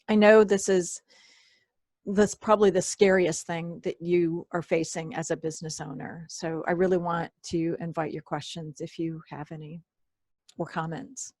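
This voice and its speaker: A woman presenting an online workshop, hoarse and losing her voice, often clearing her throat and apologizing. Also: lowish voice, darkish tone, dry recording. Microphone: computer mic on a video call.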